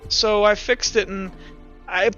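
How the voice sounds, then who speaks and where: A man acts out an emotional, nervous young man in a tabletop game. He speaks high and clear, stumbling over words in an apologetic stammer. The sound is slightly muffled, with music underneath. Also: steady tone, a little slow, fairly bright tone. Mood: sheepish, flustered.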